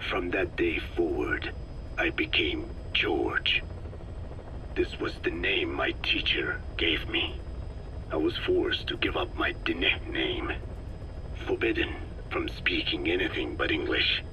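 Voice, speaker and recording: Ancient navajo scientist elder in a game, super low whispery voice, slow and solemn, regretful, noisy rumble behind. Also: male voice. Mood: bitter, sorrowful. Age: older. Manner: slow, solemn, measured, formal. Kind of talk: dramatic game dialogue, reflective monologue.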